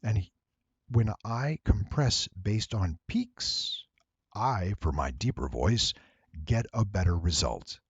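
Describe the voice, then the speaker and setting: Low-voiced man, tutorial narration, emphatic, animated intonation, dull recording. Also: takes it slowly, slightly rough, dry recording. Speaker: voice actor giving an audio editing tutorial. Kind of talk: explanatory screen-recording narration.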